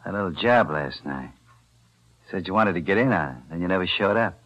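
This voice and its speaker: An actor playing a small-time crook in a 1940s radio drama, offhand and probing. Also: male voice, deep voice, animated, fairly quick, a bit bright, highs cut off. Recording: vintage 1940s radio broadcast.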